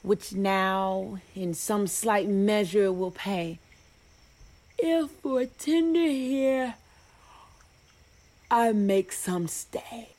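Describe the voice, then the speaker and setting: A woman playing a lovesick, scornful young gentleman, delivering measured, melodramatic Shakespearean verse in a radio play. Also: medium pitch. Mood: weary resignation.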